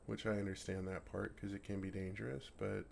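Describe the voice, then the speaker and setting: Man playing a gentle low-voiced dragon, slightly gravelly. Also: level tone, brisk, a little hiss or hum. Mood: puzzled affection. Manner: earnest, softly teasing, innocently puzzled. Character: gentle, oblivious, affectionate male forest dragon. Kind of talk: intimate ASMR roleplay.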